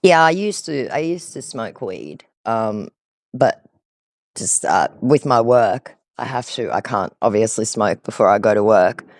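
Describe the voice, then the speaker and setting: A man's voice: on the low side, lively intonation, unhurried, dry recording, clean studio sound. A man chatting casually on a call, explaining things in a conversational, talkative way. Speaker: scambaiter on a call with a scammer. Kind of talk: casual phone chat.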